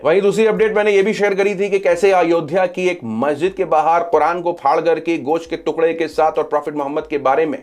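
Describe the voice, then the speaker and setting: Male news commentator, pitched slightly high, explanatory update style with emphatic repetition. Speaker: social media news commentator. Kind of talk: news commentary sharing updates to camera or over screen.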